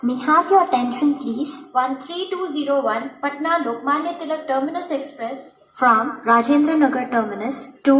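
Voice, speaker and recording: A woman with an Indian accent making formal scripted railway station announcements in measured, stitched-together phrases. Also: high-pitched. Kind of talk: scripted public announcement in Hindi and English. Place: railway station, announcement heard over PA speakers. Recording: echoey station loudspeaker, distant mic, music.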